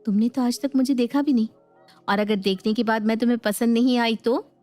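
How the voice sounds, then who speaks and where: A woman's voice: on the high side, steady tone, fairly quick, mellow, soft background music, close to an everyday voice. A woman voicing a naive, lovestruck young married woman, coy and emotive, in a dramatized moral story. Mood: coy, smitten.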